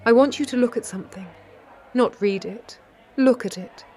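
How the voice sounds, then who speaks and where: An actress with a British accent playing a shrewd 1930s London lady detective, narrating in a measured, wry and precise way. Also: fairly high pitch, a little mellow, lightly acted. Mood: urgent, pointed. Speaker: narrator and lead character. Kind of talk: first-person detective audio drama narration.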